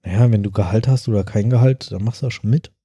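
A man co-hosting a podcast in casual chat, rambling and halting with many fillers. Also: on the low side, dark tone, husky, no room sound, lo-fi sound. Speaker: podcast host.

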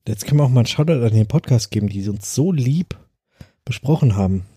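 A man's voice: mid-range voice, expressive, quick pace, dark tone, slightly rough, no room sound. A man co-hosting a podcast in casual chat, making dry, brief remarks. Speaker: podcast co-host.

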